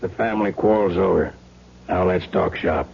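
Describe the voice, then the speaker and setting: A domineering crime boss talks in curt, clipped phrases with a low, gruff voice. He is impatient and suspicious, in an old radio play that sounds muffled and a little noisy. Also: steady tone, moderate pace. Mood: guarded, businesslike. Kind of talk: old-time radio crime drama acting.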